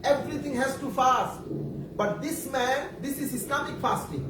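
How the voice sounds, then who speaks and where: A man whose first language is Bengali, preaching a sermon to children in English, halting and repeating himself, often asking the audience questions. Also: extremely high pitch. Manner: halting, repetitive, searching for words. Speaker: Islamic preacher. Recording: echoey room, distant mic, faint background noise.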